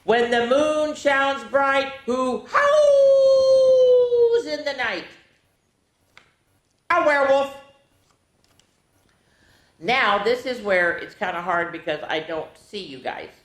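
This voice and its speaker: A woman hosting a children's storytime, reading picture books aloud in a playful, theatrical way with casual asides and drawn-out words. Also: high voice, sing-song, slight background noise. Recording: echoey, roomy sound.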